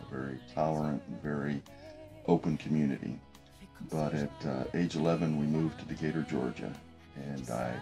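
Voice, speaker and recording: Very deep-voiced man reflecting in his office. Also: warm tone. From United States. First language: French. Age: late middle age. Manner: thoughtful, halting, many fillers and restarts. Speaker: business professor. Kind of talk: reflective documentary interview. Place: office with desk and window.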